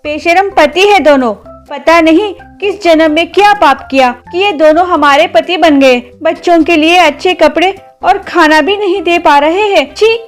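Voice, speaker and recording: A woman voicing a fed-up, sharp-tongued village wife in a cartoon story, with an exasperated, scolding manner. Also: very high-pitched, normal speed, hardly any pauses, soft background music.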